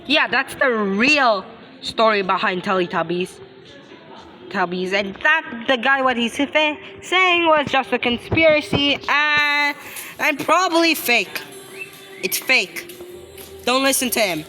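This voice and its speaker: A woman giving casual reaction commentary, speaking haltingly and often repeating and stumbling over her phrases. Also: high-pitched, lively intonation, bright, forceful tone, faint music behind. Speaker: reaction video host.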